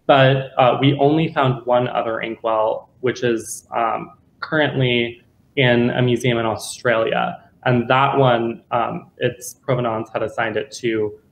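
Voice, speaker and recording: A man's voice: mid-range voice, fairly even intonation, unhurried, gravelly. A young man answers questions about his exhibition in a loose, chatty way, full of fillers. He speaks on a video call from a room at home, and the sound is roomy and echoey. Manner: casual, chatty with many fillers. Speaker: guest curator. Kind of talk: answering questions after a lecture.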